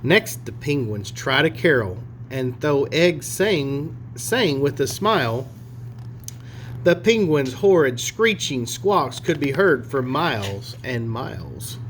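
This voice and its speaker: A man reading a picture story aloud to children in an expressive storytelling style. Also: mid-range voice, slow, a little hiss or hum. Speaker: storybook reader.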